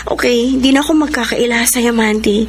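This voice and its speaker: A woman's voice: on the high side, brisk, slight background noise, natural voice. An actress playing a troubled young woman who tells her story earnestly, switching between drama scenes and confiding narration. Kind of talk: classic radio drama acting and narration.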